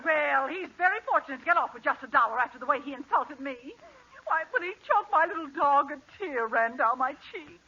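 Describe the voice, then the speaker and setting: A woman's voice: sits high, animated, a little fast, sharp tone, exaggerated voice. An actress playing a haughty, indignant married woman with an American accent in 1940s radio comedy. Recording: muffled 1940s radio recording.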